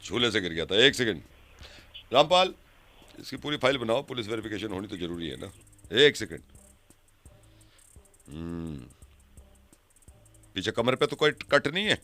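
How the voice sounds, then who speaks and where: A man's voice: fairly low pitch, very animated, normal speed, pauses often, pushed and bright, dry recording, faint music behind. A man on a prank call playing a stern police verification officer, curt and repetitive as he questions and stalls. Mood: stern, suspicious. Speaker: prank caller, radio show host. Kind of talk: prank phone call in character.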